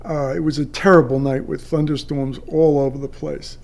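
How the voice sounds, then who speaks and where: An older man, a retired air traffic controller, telling a personal story off the cuff in a halting way with drawn-out pauses. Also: on the high side, little pitch movement, creaky, a little mellow.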